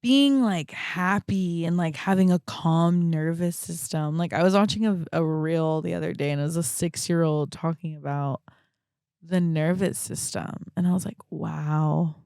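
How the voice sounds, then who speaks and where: A young woman talking reflectively and casually as a podcast guest, halting often and peppering her speech with "like". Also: low-pitched, vocal fry, a little mellow, smooth, no echo, studio quality. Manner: halting, frequent "like" as verbal filler.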